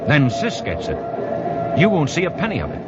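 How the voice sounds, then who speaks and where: An actor playing a bitter, suspicious young husband, nervous and coldly resigned, in an old-time radio drama. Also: male voice, huge pitch swings, a little fast. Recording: noisy muffled old radio recording, rumbling background.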